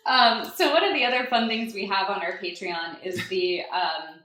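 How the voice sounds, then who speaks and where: A woman's voice: mid-range voice, normal speed, pushed and bright. Woman podcast co-host in an echoey room. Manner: giggly, playful. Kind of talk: casual podcast chat. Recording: roomy, echoey room sound.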